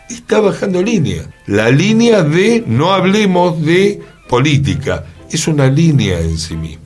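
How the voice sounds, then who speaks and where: A man with a Rioplatense Argentine accent discussing ideas conversationally, emphatic and given to rhetorical tag questions. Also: medium pitch.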